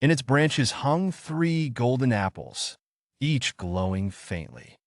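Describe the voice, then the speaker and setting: A man narrating a scripted bedtime story as a storyteller, in an expressive, theatrical manner. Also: mid-range voice, slow pace, no room sound, studio quality.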